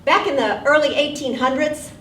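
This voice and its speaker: High-voiced older woman performing a story onstage. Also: normal speed, slight background noise. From South Texas, USA. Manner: wry, animated storytelling with acted asides. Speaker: solo performer. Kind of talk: storytelling stage monologue. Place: theater stage. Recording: roomy theater sound from a distance.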